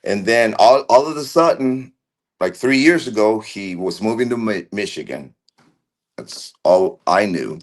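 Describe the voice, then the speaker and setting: A man of Argentine background, a guest on a video call, recalling events slowly with many fillers, restarts and pauses. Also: fairly low pitch, animated. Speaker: interview guest. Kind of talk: casual recollection.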